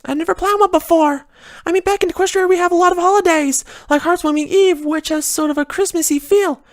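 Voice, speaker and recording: A storyteller voicing a bubbly, excitable young female cartoon pony who gushes and rambles in exclamations. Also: very high-pitched, fairly quick, pitched above the performer's own voice. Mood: gushing, exuberant. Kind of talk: cartoon character voice in fanfiction reading.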